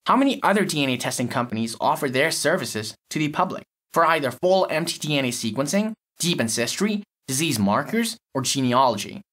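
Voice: medium pitch, very animated, fairly quick, hardly any pauses, a bit bright. An actor playing an impatient, reluctant lab technician in an audio story, explaining with exasperation. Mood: exasperated.

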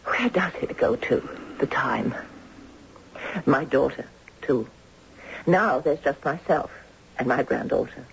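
An actress playing a genteel, hesitant elderly widowed grandmother in a 1970s radio drama, wistful and breathy. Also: a bass voice, expressive, slow pace, pauses often, a little hiss or hum, full character voice. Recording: dull 1970s radio broadcast recording.

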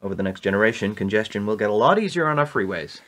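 A man acting in a radio play as a dry, matter-of-fact government analyst, precise and deadpan. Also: lowish voice, rapid-fire. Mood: dry briefing.

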